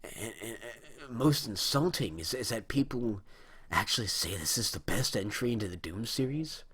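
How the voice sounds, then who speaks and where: A man's voice: on the low side, lively intonation, moderate pace, a crowd in the background. A young man in his early twenties, giving a rambling, indignant monologue as a video host, with a dry, strained throat. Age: young adult. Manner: rambling, indignant, frequent restarts. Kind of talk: opinion monologue on video games.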